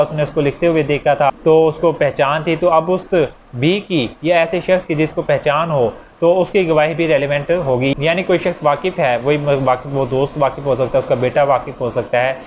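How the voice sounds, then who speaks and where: Urdu-accented guy teaching law, kinda high voice, chatty explaining, faint hiss behind. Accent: Pakistani Urdu. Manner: conversational, explains with examples. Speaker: law lecturer.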